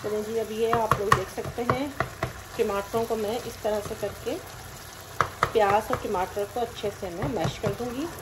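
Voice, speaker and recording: A woman's voice: mid-range voice, steady tone, normal speed, very noisy. A woman hosting a cooking channel, explaining each recipe step plainly in a friendly voice-over. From Pakistan. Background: frying and sizzling.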